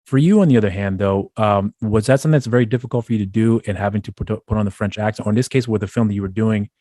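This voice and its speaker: Male interviewer, low voice, rapid long-winded questions. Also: warm tone, dry recording, studio quality. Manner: long rambling questions with restarts. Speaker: podcast host.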